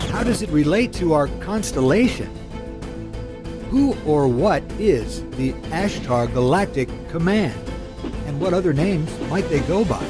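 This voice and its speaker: A man's voice: fairly high pitch, expressive, a little slow, music in the background. A man delivering dramatic scripted narration for a show's introduction.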